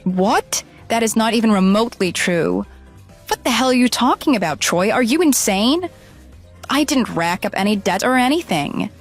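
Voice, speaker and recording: An actress playing a deceitful runaway bride in an audio drama, pleading, flustered and defensive. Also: female voice, fairly high pitch, expressive, moderate pace, soft background music. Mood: indignant. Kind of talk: dramatized phone dialogue.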